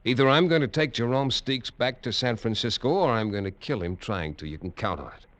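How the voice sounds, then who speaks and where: An actor playing a tough, wry American lawman in a 1940s radio drama, narrating in terse, measured hardboiled style. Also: mid-range voice, lively intonation, fairly bright tone, no room sound, close to an everyday voice. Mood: stern, determined. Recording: dull, noisy vintage radio recording.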